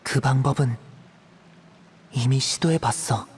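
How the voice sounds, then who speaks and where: A man's voice: mid-range voice, slow pace, a little hiss or hum, natural voice. Man voicing a subdued, withdrawn teenage boy. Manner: subdued, reticent. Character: withdrawn, apologetic, gentle teenage younger brother figure. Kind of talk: video game voice acting.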